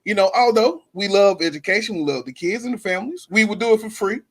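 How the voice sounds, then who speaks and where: A man's voice: high voice, lively intonation, quick pace. A man hosting a podcast, an educator, talks in a friendly way with many fillers and frequent agreeing interjections.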